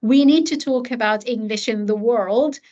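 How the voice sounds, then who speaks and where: Earnest, fairly high-voiced woman academic. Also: moderate pace, a little mellow, dull audio. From Palestine. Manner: earnest, with frequent fillers and repeated words. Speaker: guest academic in language education. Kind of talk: reflective interview conversation.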